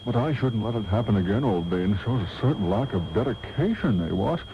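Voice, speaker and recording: A man playing a pompous, breezily selfish upper-class gentleman in a radio comedy. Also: on the low side, expressive, fairly quick. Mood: breezy, haughty. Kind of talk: comedic radio serial acting. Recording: dull, noisy old radio tape.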